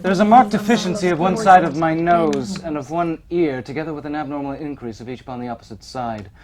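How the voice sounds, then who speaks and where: An actor on a theater stage playing a tormented, grandiose Victorian murderer in formal, declamatory monologue, with feverish piety. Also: male voice, on the high side, quick pace, crowd noise, natural voice. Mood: grave, clinical. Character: tormented male Victorian serial killer, grandiose, feverishly pious. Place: theater stage before an audience.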